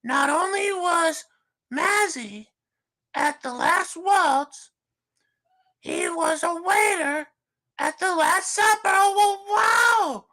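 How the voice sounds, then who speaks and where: A man voicing a gushing woman host in falsetto on a comedic livestream, starting almost every line with "well". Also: animated, takes it slowly, bright, forceful tone, full character voice. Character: shrill fake woman hostess, gushing. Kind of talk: comedic livestream character bit.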